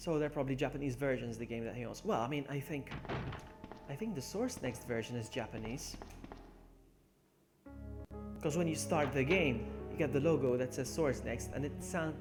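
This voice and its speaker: A man's voice: mid-range voice, moderate pace, pauses often. A young man streaming a video game, chatting casually with viewers, laughing often and muttering to himself as he plays. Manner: chatty, laughs often, reads and answers chat, mutters to himself while playing. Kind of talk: casual live-stream gameplay commentary. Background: game music.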